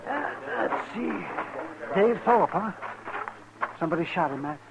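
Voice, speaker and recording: An American actor playing a blunt, weary frontier town doctor in a radio western, muttering in a folksy, drawn-out way. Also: male voice, a little high, lively intonation. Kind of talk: 1950s radio acting. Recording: hissy 1950s radio recording.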